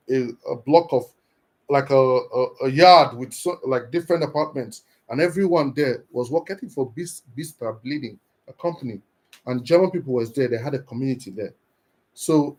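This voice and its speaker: A man's voice: mid-range voice, darkish tone. A man from Nigeria giving an earnest, encouraging motivational talk on a live stream, often repeating and restarting his words.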